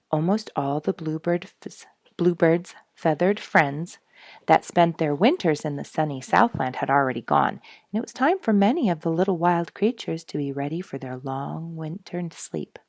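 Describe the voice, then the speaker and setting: A woman reading a children's story aloud as scripted narration, in a gentle storytelling manner. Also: low voice, creaky, no echo, studio quality. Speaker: storybook reader.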